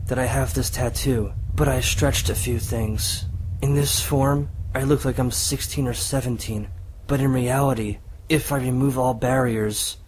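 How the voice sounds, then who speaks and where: A man voicing a calm, secretive water dragon demon who passes for a teenage boy, in an amateur audio drama. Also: mid-range voice, slight background noise, natural voice, poor audio.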